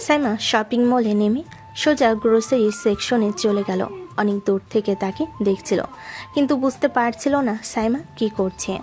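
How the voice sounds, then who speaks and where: Casting: woman narrator for romantic audio tales, higher register, scripted delivery over background music. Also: a little mellow. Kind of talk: scripted dramatic story narration. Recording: music behind the voice.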